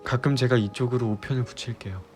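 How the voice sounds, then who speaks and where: A man playing an earnest, disillusioned young fiancé in an audio drama, delivering a heartfelt, pleading monologue with deliberate pauses. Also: mid-range voice, steady tone, darkish tone, lightly acted. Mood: earnest, sorrowful. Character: earnest, disillusioned young male fiance, resolved to leave utopia. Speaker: audio drama creator and voice actor. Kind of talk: Korean ASMR audio drama roleplay. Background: background music.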